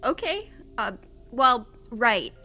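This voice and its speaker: A woman's voice: a little high, sing-song, takes it slowly, bright, forceful tone, soft background music, exaggerated voice. An actress playing an earnest, nervous young science officer in a sci-fi audio drama, hesitant and technical in her talk. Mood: tentative, earnest.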